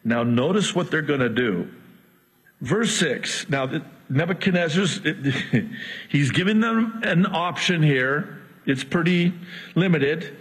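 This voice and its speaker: Husky-voiced preacher whose native tongue is Arabic. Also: male voice, fairly high pitch, takes it slowly, in a large hall, low-quality recording. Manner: animated, quips between verses, chuckles. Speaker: Bible teacher. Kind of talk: verse-by-verse sermon.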